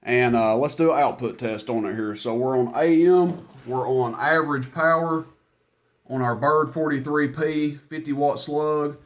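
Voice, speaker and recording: A man's voice: medium pitch, fairly even intonation, a little slow. A man talking in a folksy, plainspoken, unscripted way as he demonstrates gear he is selling. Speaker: product demonstrator, radio seller. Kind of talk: casual product demo.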